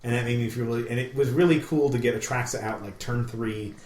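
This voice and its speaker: A man's voice: medium pitch, quick pace, a little mellow. A man co-hosting a podcast, chatting casually in an enthusiastic, rambling way. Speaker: podcast co-host. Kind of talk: casual chat. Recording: roomy, echoey room sound.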